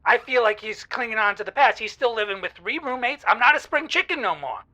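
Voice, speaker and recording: Fed up lovesick caller in audio drama, sweary n dramatic, sharp bright voice, very up and down. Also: medium pitch, animated, fairly quick. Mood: exasperated. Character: exasperated, lovelorn adult caller, craving commitment. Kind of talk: semi-improvised audio drama, call-in show.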